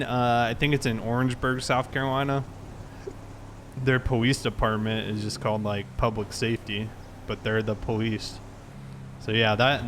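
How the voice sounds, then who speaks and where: A man's voice: mid-range voice, a bit bright, a little hiss or hum. A man chatting casually and explaining things conversationally while he plays a game. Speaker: game streamer and host. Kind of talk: casual chat while gaming.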